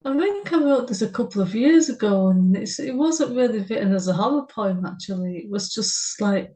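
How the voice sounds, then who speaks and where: Mellow-voiced woman reading her poem. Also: mid-range voice. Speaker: podcast co-host and poet. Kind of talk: reading her own poem and casual chat.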